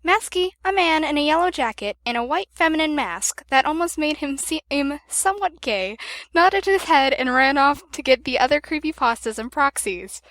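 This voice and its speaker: Female narrator, high voice, animated intonation, dry sound, scripted fan fiction reading. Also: moderate pace, no room sound.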